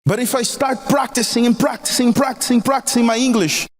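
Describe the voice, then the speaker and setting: A man's voice: extremely high pitch, fairly quick, in a large hall. A man from Brazil with a Brazilian accent, a native Portuguese speaker, giving emphatic marriage advice and asking the listener rhetorical questions. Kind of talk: recorded motivational talk.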